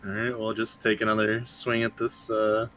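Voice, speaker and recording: Male gamer, sharp-edged tone on the lower side, relaxed player banter. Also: normal speed. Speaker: tabletop game player. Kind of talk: casual game chat.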